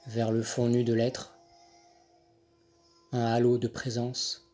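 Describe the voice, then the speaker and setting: Male poetry reader, medium pitch, unhurried and measured, setting each phrase apart with deliberate pauses. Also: completely flat delivery, warm tone. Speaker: poet reading own poem. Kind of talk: poetry recitation. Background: Handel music accompanies the reading.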